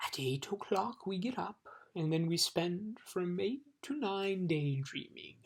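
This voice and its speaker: A woman reading aloud, voicing lazy, sleepy little creatures who spend the day doing nothing, in a slow, drawling way. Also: lowish voice, animated, husky, voice pushed up, exaggerated voice. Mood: drowsy.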